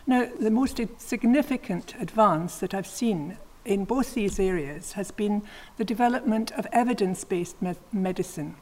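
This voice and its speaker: A woman in her sixties, a retired health official, explaining her work on a panel in a measured, plain way. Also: mid-range voice, a little mellow, rough recording.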